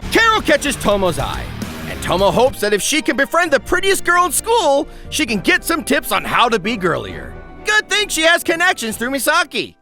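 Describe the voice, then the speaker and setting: A man's voice: very high-pitched, bright, forceful tone. A man narrating a scripted comedic anime review in a wry, exaggerated, joking way. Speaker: video essay narrator.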